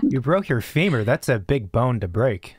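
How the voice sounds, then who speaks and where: A man hosting an interview podcast from a small studio with plants, talking casually into a boom mic and laughing easily. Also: very animated, husky, dry recording. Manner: friendly, with ready laughs and quick back-channel replies. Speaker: podcast host.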